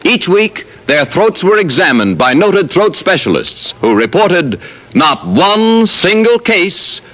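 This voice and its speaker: Male ad announcer, vintage radio: slightly high voice, hugely animated pitch, emphatic sales read. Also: slow pace. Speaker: commercial announcer. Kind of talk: 1950s radio commercial announcing. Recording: vintage radio broadcast recording.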